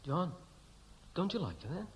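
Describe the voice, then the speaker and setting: Young Welsh groom, easygoing but a bit defensive, animated intonation, radio drama. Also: male voice, high-pitched, moderate pace. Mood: mild, placating. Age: 20s. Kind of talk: 1960s radio drama acting.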